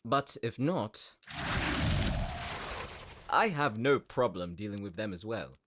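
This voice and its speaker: A man's voice: fairly low pitch, lively intonation, slow pace, very noisy. A man playing an arrogant, cruel nobleman sorcerer with a pompous, sneering manner in an audio drama. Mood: cold gloating menace. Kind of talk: dramatic audio roleplay acting.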